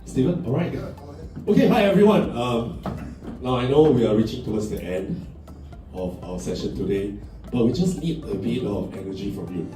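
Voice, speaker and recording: A man's voice: medium pitch, lively intonation, moderate pace. A man moderating a conference panel, teasing the speakers and joking with the crowd. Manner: playful, teasing, switches between English and Mandarin. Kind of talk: lively event hosting, joking banter. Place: conference hall on stage. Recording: echoey hall from far off with music.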